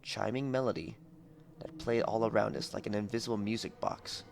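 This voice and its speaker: A young man with a low, creaky voice narrates an audiobook in a dramatic scripted style. The amateur headset recording carries faint background noise. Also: fairly even intonation, brisk.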